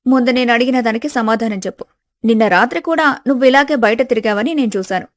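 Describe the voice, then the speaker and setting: A woman's voice: fairly high pitch, quick pace, no room sound, studio quality, close to an everyday voice. A woman voicing a haughty, suspicious elder daughter-in-law with an accusing, sarcastic manner in a village family story.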